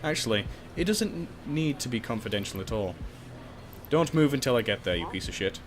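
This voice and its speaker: A man narrates a video game playthrough with very lively, swinging pitch over clear background music. He reads the text aloud, then slips into goofy, exclamatory asides as the host. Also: fairly quick. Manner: jokey, exclamatory, swings between reading and goofy outbursts. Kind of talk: let's play, reading game text aloud with joking asides.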